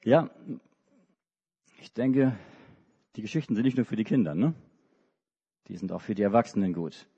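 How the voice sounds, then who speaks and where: Middle-aged male preacher, animated storyteller for children, husky voice, muffled hall sound. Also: lowish voice, normal speed, pauses often. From Hamburg, Germany. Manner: storytelling to children, with many restarts and hesitations. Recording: dull recording in a reverberant hall.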